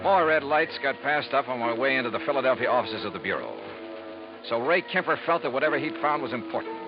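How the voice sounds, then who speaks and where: A tough, fast-talking insurance investigator voiced by a man in an old radio detective play. His delivery is lively and quick, and the sound is dull and lo-fi like a vintage broadcast. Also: mid-range voice, music in the background, lightly acted. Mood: narrating. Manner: clipped, pressing questions. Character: hard-driving male insurance investigator, brisk and tough. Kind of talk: 1950s radio drama acting.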